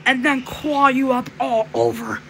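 A woman's voice: high voice, fairly even intonation, vocal fry, a bit bright, a little hiss or hum, heavily acted. A woman voicing a menacing, taunting clawed animal villain in fan-made horror game voice lines, speaking in threats. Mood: threatening. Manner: slow, taunting, threatening delivery.

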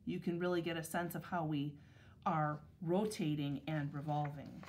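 A woman teacher reading a picture book aloud to young children, with measured, deliberate phrasing. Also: low voice, fairly even intonation, darkish tone.

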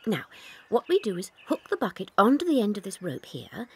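An actress playing a gentle, kindly young schoolteacher with an English accent in a dramatized children's audiobook. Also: female voice, mid-range voice, wildly swooping pitch, a little fast, husky, full character voice. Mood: kindly, matter-of-fact.

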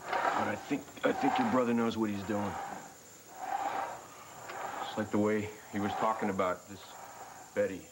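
An actor playing an easygoing young boyfriend on a 1990s daytime soap who talks calmly and reassuringly. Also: male voice, fairly low pitch, normal speed, long pauses, lightly acted. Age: young adult. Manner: calm, reassuring, some restarts. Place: indoor studio set. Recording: noisy soap broadcast recording.

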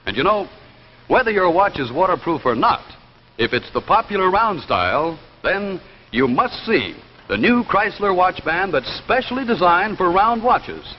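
Lively young 1950s TV pitchman, slightly high. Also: moderate pace, in a large hall, a little hiss or hum. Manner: polished, salesmanlike delivery. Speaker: announcer and commercial pitchman. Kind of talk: scripted 1950s TV announcing and advertising pitch. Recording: dull 1950s television soundtrack with music.

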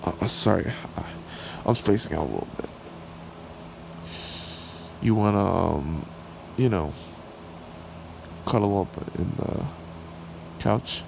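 A man playing a smitten, shy red dragon boyfriend in an ASMR roleplay, speaking in a halting, tender way. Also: deep voice, creaky, full character voice. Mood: flustered. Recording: steady background hiss or room hum.

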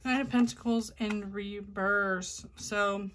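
A woman's voice: medium pitch, fairly even intonation, slow pace, a bit bright, slight background noise. Chatty woman hosting a tarot reading. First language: not Korean. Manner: chatty, many ums and restarts. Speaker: tarot reader, channel host. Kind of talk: casual talk to camera.

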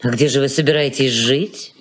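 An actress playing a bossy, nagging elderly aunt in a radio play, with a theatrical, scolding manner. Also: female voice, extremely low pitch, steady tone, moderate pace. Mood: fussy, sighing. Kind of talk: Soviet radio play acting.

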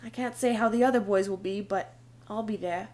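A woman's voice: medium pitch, fairly even intonation, fairly quick, a little mellow, natural voice. A woman playing a spirited young girl disguised as a newsboy in a fan audio drama, talking in an earnest, pleading way. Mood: tender. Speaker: Brenna, called Trix.

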